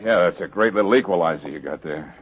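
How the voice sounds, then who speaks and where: An actor with an American accent playing a wry, easygoing private investigator in a 1940s radio detective drama. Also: male voice, low voice, fast talker, close to an everyday voice. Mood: wary. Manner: casual, wry, bantering. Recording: tinny noisy old radio recording.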